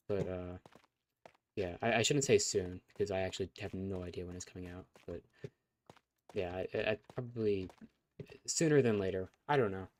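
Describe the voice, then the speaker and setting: A man's voice: on the low side, a little mellow. A young man casually chatting on a live stream as its host, rambling with fillers and restarts, his voice getting scratchy. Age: young adult. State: voice going scratchy.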